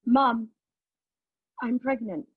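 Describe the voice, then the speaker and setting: Actress doing husky bitter pregnant woman in a stage monologue, intense, exposed, dry close sound but kinda dull. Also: on the high side, no room sound, full character voice. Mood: pained, reflective. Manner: intense, deliberate, emotionally exposed delivery. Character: anguished, bitter, sardonic pregnant woman telling her story. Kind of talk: dramatic monologue at a table read.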